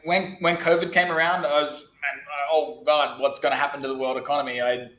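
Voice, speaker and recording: A man's voice: a little high, little pitch movement, fairly quick, a bit bright, reverberant, poor audio. A man in his thirties from Australia with an Australian accent, a podcast guest talking bluntly and casually, often restarting his sentences. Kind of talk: casual interview talk.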